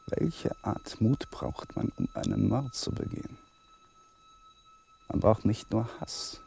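An actor in a German crime radio play playing a troubled, coldly rational lawyer husband. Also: male voice, very high voice, huge pitch swings, slow pace, vocal fry, warm tone, slight background noise, heavily acted. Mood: brooding, hushed. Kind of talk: German crime radio play acting, dialogue and inner monologue.

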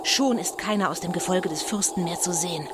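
A woman playing an excitable eyewitness narrator in a children's spooky audio drama, telling it as suspenseful live commentary. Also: on the low side, a little fast, background noise, natural voice. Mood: suspenseful, awed. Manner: suspenseful, shifting from whispers to cries. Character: excitable, fearful female eyewitness narrator of a ghost battle.

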